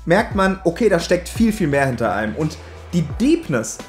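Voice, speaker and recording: A man's voice: fairly high pitch, very animated, moderate pace. A man talking casually and enthusiastically to viewers as a YouTube reviewer, in colloquial, slangy language peppered with English loanwords. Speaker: YouTube anime and manga reviewer. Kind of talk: casual direct-to-viewer video commentary. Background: background music.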